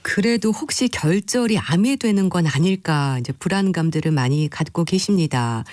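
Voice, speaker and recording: A radio interviewer asks short, polite questions on a health program. The pitch moves a lot. The sound is dry and close, with no room in it. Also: expressive, normal speed, a little mellow, no room sound.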